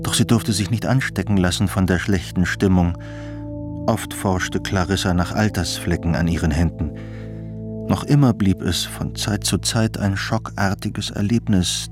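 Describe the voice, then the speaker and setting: A man narrating a literary radio drama from a script. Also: low-pitched.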